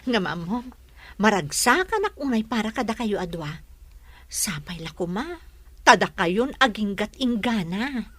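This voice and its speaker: A woman's voice: mid-range voice, big pitch swings, a little slow, no room sound. A woman playing a teasing, supportive young friend in an audio drama. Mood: gladly supportive.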